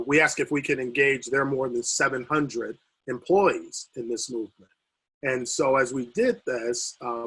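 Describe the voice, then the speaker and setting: Husky-voiced man losing his voice. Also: mid-range voice, a bit bright, rough recording. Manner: halting, many fillers and restarts. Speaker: university recreation administrator. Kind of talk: video call panel remarks. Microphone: video call.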